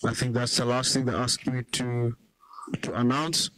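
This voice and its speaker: Brief: man, middle-aged, from South Africa, panel host closing out the event, casual and unhurried. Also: mid-range voice, slight background noise, low-quality recording. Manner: relaxed, loose with ums and uhs. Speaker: panel moderator. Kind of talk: event wrap-up announcements. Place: small conference room with audience. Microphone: handheld microphone.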